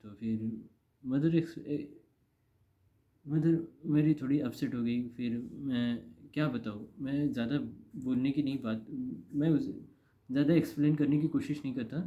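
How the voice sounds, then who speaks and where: A man's voice: medium pitch, fairly even intonation, moderate pace. An Indian man in his thirties giving a casual, confessional monologue to camera, rambling and repeating phrases for emphasis. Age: 30s. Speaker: vlogger. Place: indoor room. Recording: dull, lo-fi.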